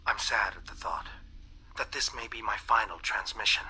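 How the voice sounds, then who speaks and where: Solemn, bright-voiced alien scientist. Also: male voice, on the low side, moderate pace, husky, full character voice, low-quality recording. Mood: sad, resigned. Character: earnest alien scientist recording a grave final message. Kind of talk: dramatic monologue in a video game.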